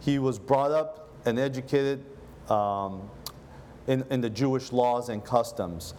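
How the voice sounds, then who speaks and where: A man's voice: mid-range voice, takes it slowly. Easygoing church pastor guy from lebanon, mid voice, lots of ums while he preaches, faint room hum. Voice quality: relaxed, filler-heavy, earnest delivery with frequent self-restarts. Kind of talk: casual conversational sermon with prayer. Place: church service.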